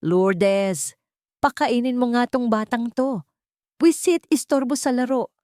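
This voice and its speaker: A woman's voice: a little high, takes it slowly, dry recording, studio quality, voice pushed up. A woman with a Filipino accent voicing an angry, bullying father in an audiobook drama. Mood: annoyed. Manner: shouting, irritable.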